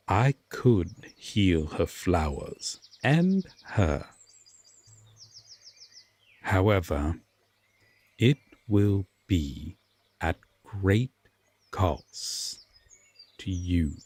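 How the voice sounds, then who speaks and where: A man playing an aloof, stern yet secretly tender Fae king in a roleplay audio drama, speaking formally and deliberately with long pauses. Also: low-pitched, expressive, a little mellow, slightly rough, exaggerated voice. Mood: stern, cautioning.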